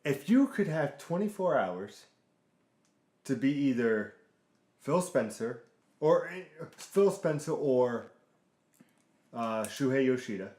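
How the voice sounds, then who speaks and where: A man's voice: medium pitch, lively intonation, slow, pauses often, darkish tone, reverberant. A man hosting a casual gaming podcast, introducing his co-hosts with playful emcee flair. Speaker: podcast host.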